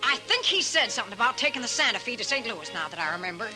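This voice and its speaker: An actress playing a brash, domineering middle-aged saloon owner with a big, harsh voice and an American Western drawl, in 1950s radio western style. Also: female voice, a little high, big pitch swings, racing. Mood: evasive. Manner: blunt, bossy, folksy. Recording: old radio recording with music.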